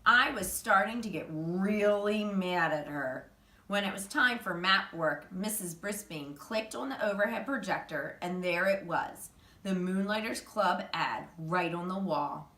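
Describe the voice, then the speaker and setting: Female storybook reader, animated and emphatic, bright tone, slightly distant from the mic. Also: on the low side, unhurried. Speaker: teacher reading a children's chapter book aloud. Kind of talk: expressive storybook narration. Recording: roomy echo, distant microphone.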